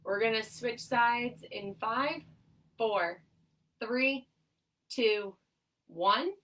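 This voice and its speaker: A young woman occupational therapist leading a seated exercise class, her voice nasal and stuffed up, giving upbeat cues and steady countdowns. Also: on the high side, extremely slow, far from the mic, poor audio. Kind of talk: instructional exercise cueing with countdowns.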